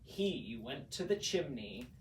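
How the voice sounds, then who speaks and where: A man reading a rhyming storybook aloud in a measured, theatrical, vintage horror-actor style. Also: medium pitch, sing-song, a little hiss or hum. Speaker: storybook narrator. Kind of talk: dramatic read-aloud imitating vintage horror-actor narration. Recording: roomy echo, distant from microphone.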